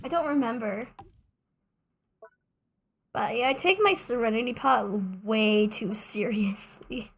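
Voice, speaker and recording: A woman's voice: fairly high pitch, slow, pauses often, slightly rough. Rambling, joking young woman streamer. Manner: rambling, self-mocking, joking asides. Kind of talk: casual gameplay streaming chat.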